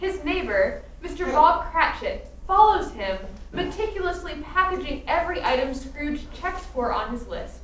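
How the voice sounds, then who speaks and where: Female narrator, scripted radio drama: emphatic, sardonic, high register, distant microphone with faint noise behind. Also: sing-song, moderate pace, few pauses, a bit bright. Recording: echoey room, distant microphone, background noise.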